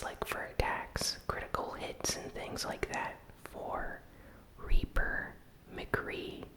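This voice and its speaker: A host whispering a gaming news recap in a soft, unhurried ASMR style. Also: low-quality recording. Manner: slow, soft, unvoiced whispering.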